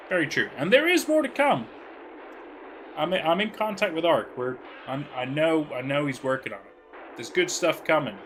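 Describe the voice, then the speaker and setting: A man's voice: medium pitch, pushed and bright, slight background noise, rough recording. A man hosting a livestream, chatting casually and reacting with playful, joking asides. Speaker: livestream host.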